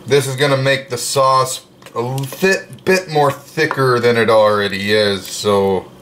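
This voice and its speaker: Laid-back guy talking slow over his crockpot in the kitchen, lots of ums, a bit of faint noise behind him. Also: medium pitch. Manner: slow, relaxed, chatty with frequent fillers. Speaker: home cooking vlogger. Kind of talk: casual cooking narration. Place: home kitchen with open windows.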